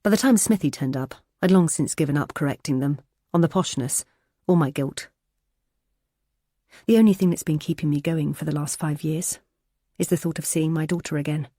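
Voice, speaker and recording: A woman reading a first-person prison thriller audiobook in a measured, steady way. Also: sits low, no room sound, clean studio sound. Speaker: audiobook narrator.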